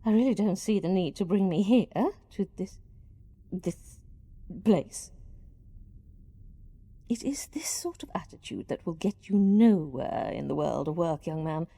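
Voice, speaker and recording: A woman's voice: lowish voice, animated, unhurried, creaky, long pauses, dark tone, full character voice. A woman playing a stern, haughty senior company employee in a radio play, formal and scolding. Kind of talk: radio play monologue acting.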